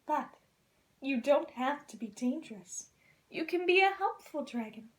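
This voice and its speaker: Woman playing young princess, high voice, kind, caring, earnest, encouraging, expressive, children's storybook. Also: unhurried.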